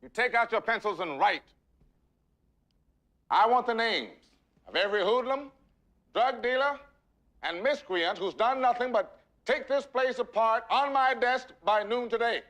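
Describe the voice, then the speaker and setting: Fierce school principal in a movie drama, really high pitched for a guy, imperious, laying down the law. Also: a little slow, pauses often. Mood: stern command. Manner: forceful, imperious, declamatory. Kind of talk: dramatic film acting.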